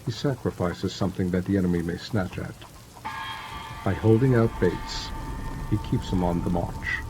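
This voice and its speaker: A man giving a deliberate, solemn, dramatized narration of an ancient treatise for an audiobook. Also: low voice, noisy background.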